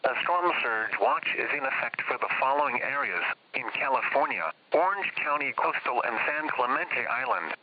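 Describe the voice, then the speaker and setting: A male emergency announcer reads out weather alerts in a flat, formal, automated style. The sound is dull and lo-fi, like a weather radio, with clear noise underneath. The pitch sits in a middling male range. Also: moderate pace, pauses often. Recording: muffled, noisy weather radio broadcast.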